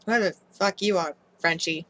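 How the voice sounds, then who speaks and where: A woman's voice: on the low side, moderate pace, bright, forceful tone, a little hiss or hum. A woman voicing a crude, irritable female oracle who speaks bluntly and swears. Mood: annoyed. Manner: blunt and profane.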